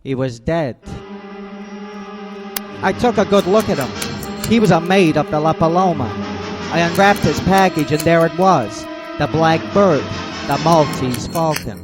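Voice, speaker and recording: An actor playing a tough, wisecracking private eye who talks in terse, sardonic lines and narrates in a hard-boiled style. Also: male voice, fairly high pitch, a little slow, music in the background, close to an everyday voice. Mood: narrating.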